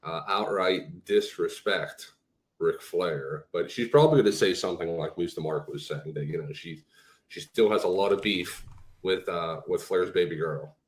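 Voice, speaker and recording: Stammering low-voiced man, echoey room. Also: moderate pace. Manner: stammering, repeats words before getting going. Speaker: podcast co-host. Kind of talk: casual wrestling talk.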